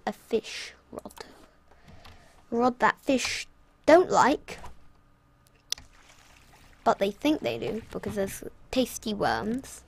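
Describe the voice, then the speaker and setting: A woman's voice: fairly high pitch, vocal fry, darkish tone, slight background noise. Chatty young British woman playing a game. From Britain. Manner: chatty, rambling, self-mocking asides. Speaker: gaming channel host. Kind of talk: casual gameplay commentary.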